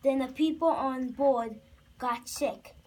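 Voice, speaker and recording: A child narrating a homemade puppet show haltingly, with restarts. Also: high voice, darkish tone. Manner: halting, repeats and restarts phrases. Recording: distant, faintly noisy home recording.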